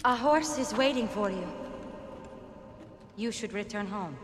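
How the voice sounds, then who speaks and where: A woman's voice: medium pitch, animated, measured pace, music in the background, lightly acted. An actress playing a mysterious, fearful woman in a video game, with a Mexican accent and a grave, dramatic delivery. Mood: solemn, commanding. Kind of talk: video game cutscene acting.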